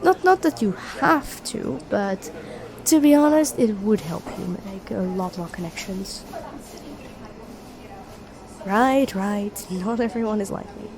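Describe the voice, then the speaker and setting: A woman playing a sweet, supportive young best friend with a secret crush, speaking gently and earnestly in an intimate audio roleplay. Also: medium pitch, animated, slow pace, pauses often, poor audio. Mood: supportive, thoughtful. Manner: gentle, earnest, encouraging, conversational with soft hesitations. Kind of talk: intimate roleplay audio acting, one-sided dialogue. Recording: cafe chatter behind the voice. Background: coffee shop crowd ambience.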